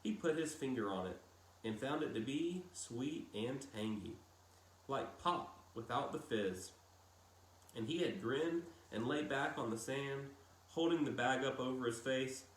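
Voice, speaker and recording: A man reading a novel aloud as a narrator, with measured phrasing and dramatic pauses and emphasis. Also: medium pitch, animated. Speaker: audiobook-style reader. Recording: roomy echo with clear background noise.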